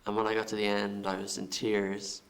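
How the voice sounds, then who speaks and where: Irish man, low and gravelly, flat delivery, measured, halting interview speech. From Ireland. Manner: halting, repeats words while thinking. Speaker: film director. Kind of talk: interview answers.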